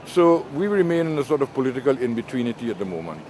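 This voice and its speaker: Older Trinidadian man, interview guest, deliberate and measured delivery, mid-range voice, noisy street behind. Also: medium pitch, very animated, a little mellow. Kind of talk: political commentary in an interview.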